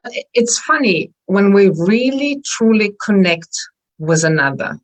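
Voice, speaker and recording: A woman with a German accent, a wellness coach speaking earnestly as an interview guest, with some halting restarts. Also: medium pitch, poor audio. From northwestern Germany. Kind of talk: interview conversation.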